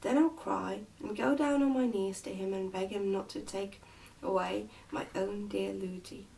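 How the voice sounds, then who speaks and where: A woman's voice: medium pitch, fairly even intonation, moderate pace, vocal fry, warm tone, distant mic, slight background noise, lightly acted, low-quality recording. A woman voicing a trusting, outspoken little princess in a storybook, with an earnest, insistent childlike manner. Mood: earnest, affectionate.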